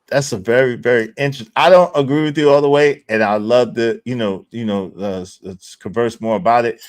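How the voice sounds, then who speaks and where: A man hosting an online talk stream, speaking casually with many fillers and quick rising emphasis. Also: mid-range voice, no room sound. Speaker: host.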